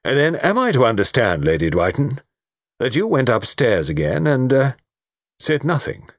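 A man voicing a brisk, stern middle-aged chief constable in a storybook, questioning in a clipped, official way. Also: medium pitch, big pitch swings, no room sound, clean studio sound, voice pushed up. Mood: probing.

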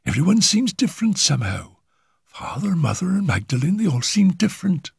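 A man voicing a frightened young woman in a storybook reading, talking in a hurried, halting, nervous way. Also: high-pitched, big pitch swings, creaky, breathy edge, no echo, studio quality, pitched above the performer's own voice, heavily acted.

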